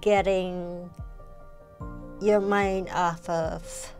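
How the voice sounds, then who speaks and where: A woman's voice: on the low side, level tone, drawn-out words. A woman in her forties with a Guyanese accent, telling her personal story in an interview. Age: 40s. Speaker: client sharing personal story. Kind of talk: interview testimonial. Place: small furnished living room indoors. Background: soft music.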